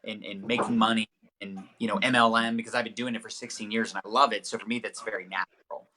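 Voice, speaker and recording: A man's voice: fairly low pitch, steady tone, fast, sharp tone, slight background noise. A man giving chatty, upbeat coaching tips as the guest of a casual livestream interview, with many fillers. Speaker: network marketing trainer, guest.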